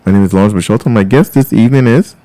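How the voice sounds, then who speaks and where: A male radio host with a very low, warm voice asks his questions in a rambling, restarting way. His tone is smooth and resonant. The studio sound is dry and close. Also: rapid-fire, no room sound. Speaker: radio talk show host. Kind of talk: live call-in radio interview.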